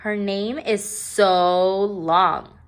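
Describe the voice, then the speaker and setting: A woman's voice: medium pitch, fairly even intonation, extremely slow. A woman voicing mean, gleeful little schoolgirls with taunting voices for a children's storybook. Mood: scornful.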